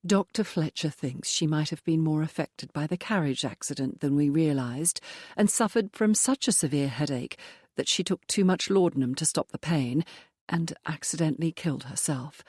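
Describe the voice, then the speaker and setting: A woman's voice: low voice, slightly rough, no echo, studio quality. A woman reading as a firm, composed young woman. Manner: firm, quiet and measured. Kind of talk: audiobook dialogue.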